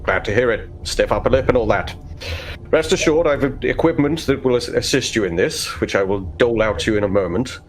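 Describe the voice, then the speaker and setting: A man playing a stern, pompous vault overseer, speaking in formal, measured phrases in a tabletop roleplaying game. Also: medium pitch, close to an everyday voice. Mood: commanding, formal. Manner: formal, measured, stiff-upper-lip.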